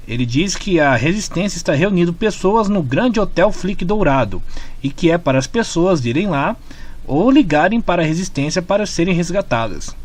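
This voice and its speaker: A man with a Brazilian accent reading a scripted retelling of a story as its narrator. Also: high voice. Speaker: narrator of comic story summaries. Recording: music clearly playing behind the voice. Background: background music.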